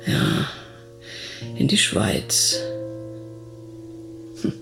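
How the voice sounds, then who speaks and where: An actor with a low-lying voice playing an elderly person recalling childhood in a radio drama, measured and reflective. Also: male voice, sharp tone. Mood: wistful. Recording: plucked guitar music behind.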